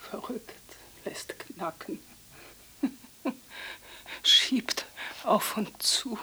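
Weak ghostly woman, raspy whisper, super slow broken bits, sharp hissy edge, arty radio play. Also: a little high, full character voice. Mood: teasing, agitated. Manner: halting, fragmented phrases. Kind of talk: experimental radio drama monologue.

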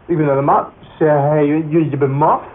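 A man's voice: a little high, steady tone, brisk, natural voice. An actor playing a vain, touchy, boastful young man who blusters defensively in a Dutch radio drama. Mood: indignant, flustered. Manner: defensive, blustering, stumbling over his words. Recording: tinny band-limited radio recording, faint hiss.

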